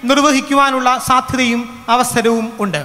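Male interpreter, very high and bright, formal phrase-by-phrase delivery, stand mic, reverb. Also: fairly even intonation, moderate pace. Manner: formal, follows each phrase closely. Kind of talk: live sermon interpretation. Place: large open-air convention tent. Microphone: stand mic at podium. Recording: long hall reverb from PA.

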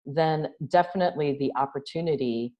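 A middle-aged woman speaks over a video call from a room at home. Her voice is deep and mellow for a woman. She tells stories in an animated way and acts out the other people in them. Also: brisk, highs cut off. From New York City. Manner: animated storyteller, gestures a lot, laughs. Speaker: guest, social entrepreneur. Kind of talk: casual interview chat. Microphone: video call webcam.

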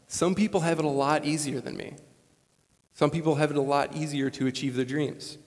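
A man in his late twenties from Wisconsin giving an earnest, conversational commencement speech on a lapel mic. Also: medium pitch. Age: late 20s. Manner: earnest, conversational, animated gestures. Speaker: commencement speaker. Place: large school gymnasium, on stage. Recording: long gym reverb.

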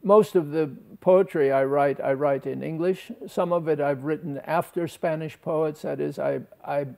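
An older man in his sixties with a heavily creaky voice, a little higher than most men. He answers interview questions thoughtfully and haltingly, often restarting a word. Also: fairly even intonation, a little mellow. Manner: halting, hesitant, with repeated word starts. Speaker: talk show guest. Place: TV talk show studio. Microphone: lapel.

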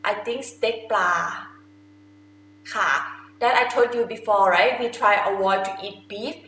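Young woman, Thai accent, fairly high pitch, informal lesson delivery, simple explanations, roomy sound with faint noise. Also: steady tone, brisk, a bit bright. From Thailand. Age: young adult. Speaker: language teacher. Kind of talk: instructional lesson to camera. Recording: echoey room with faint noise.

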